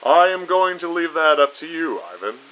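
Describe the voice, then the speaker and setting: A man plays a genteel spy agency chief in an audio drama. The voice is a little high and very animated, formal and reassuring in manner. The sound is slightly lo-fi. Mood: encouraging. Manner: formal, reassuring, measured wording. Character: genteel, encouraging male spy agency boss.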